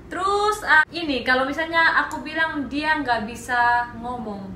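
Young woman vlog host, high bright voice, lively and giggly, in a roomy bedroom with a faint hum behind her. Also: measured pace. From Indonesia. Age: young adult. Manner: animated, laughing, playful storytelling. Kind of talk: casual chat. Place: small bedroom, indoors.

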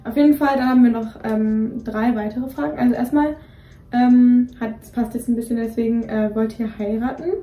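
Young female co-host, mellow voice, rambly casual delivery, roomy distant sound. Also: fairly high pitch, moderate pace, hardly any pauses, soft background music. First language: Greek is partly her home language. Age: young adult. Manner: rambling, many fillers and restarts. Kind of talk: casual chat. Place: dim bedroom, indoors. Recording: roomy echo, voice somewhat distant from mic.

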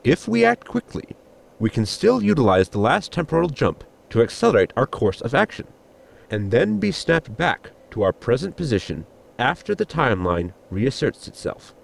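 A man playing a formal, pedantic science officer in a sci-fi comedy audio drama, with a gravelly voice and precise technical wording. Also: mid-range voice, very animated, moderate pace, full character voice. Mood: eager, formal.

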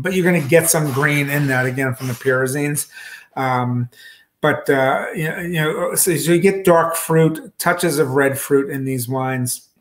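A man in his fifties talks a wine club through a bottle over a video call. He speaks casually from his home kitchen, stopping and restarting often and filling gaps with ums. His voice sits at an ordinary pitch for a man. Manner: halting, many fillers and restarts, gestures with hands. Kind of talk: casual wine tasting talk. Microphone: video call webcam.

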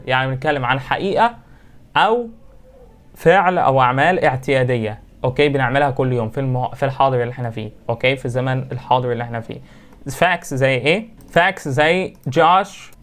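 A young Egyptian man who teaches English, speaking with an Egyptian accent. His first language is Arabic. He explains grammar over a webcam, switching between Arabic and English, and reads the example sentences slowly and repeats them. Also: medium pitch. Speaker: English instructor. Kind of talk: online grammar lesson, mixing Arabic and English. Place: small room indoors.

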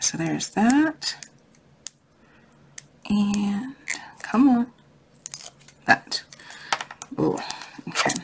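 A woman hosting a casual craft tutorial, thinking aloud in a chatty, easygoing, unscripted way. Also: fairly low pitch. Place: indoors at a craft table. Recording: noisy home recording with clicks.

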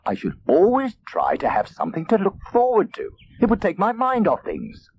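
Hapless storybook dog, done by a male reader, grumbly, dreamy, wildly swinging pitch, muffled tape. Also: huge pitch swings, moderate pace, darkish tone, exaggerated voice. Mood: musing. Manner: mumbling, wistful. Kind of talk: storybook character reading. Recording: dull tape transfer, faint noise.

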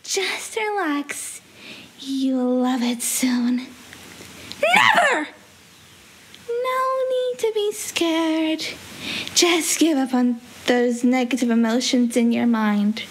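A woman's voice: high-pitched, slow. A young woman voicing a manically cheerful, deranged female cartoon villain creature with a gleeful, sinister, coaxing delivery. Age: young adult. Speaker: amateur voice actor. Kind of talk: theatrical character voice lines. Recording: faint hiss, home recording.

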